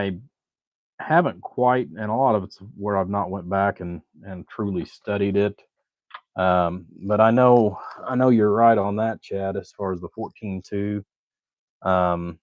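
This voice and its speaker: A man giving a casual technical explanation in a halting, rambling way, often circling back to repeat himself. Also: lowish voice. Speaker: electronics technician and channel host. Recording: dull muffled audio, roomy echo.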